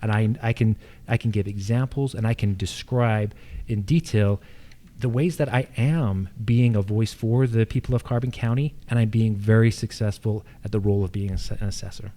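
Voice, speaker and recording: An American man makes his case as an incumbent at a candidate forum. His voice is notably dark and mellow, with little edge to it. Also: lowish voice, fairly even intonation, moderate pace, a little hiss or hum. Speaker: incumbent official seeking re-election. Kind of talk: campaign forum answers. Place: live candidate forum on a college campus.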